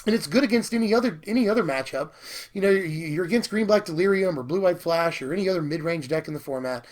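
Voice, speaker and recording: A man hosting a card-game spoiler video, talking casually with excitable, gushing enthusiasm while he is hoarse and losing his voice. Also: high voice, fast. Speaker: channel host reviewing card spoilers.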